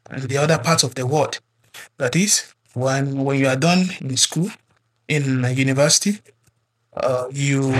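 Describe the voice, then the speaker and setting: A man's voice: medium pitch, a bit bright, slightly rough. A man from Nigeria, speaking as a podcast guest in a hesitant, conversational way with many fillers. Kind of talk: conversational testimony.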